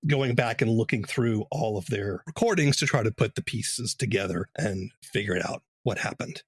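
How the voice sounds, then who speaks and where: A man with a husky, mid-pitched voice hosts an interview podcast. He explains things conversationally, recorded very dry and close. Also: dry recording.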